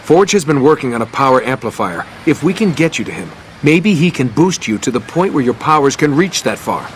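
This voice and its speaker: An actor playing a serious, earnest superhero team leader in a video game, speaking matter-of-factly. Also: male voice, medium pitch, big pitch swings, a little fast, slight background noise, natural voice. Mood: serious, concerned. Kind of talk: video game cutscene acting.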